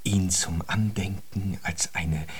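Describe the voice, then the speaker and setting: A man's voice: low-pitched, fairly even intonation, takes it slowly, slight background noise. An actor playing a fawning, long-winded male biographer in a German radio drama, ornate and ceremonious in manner. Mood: pleading.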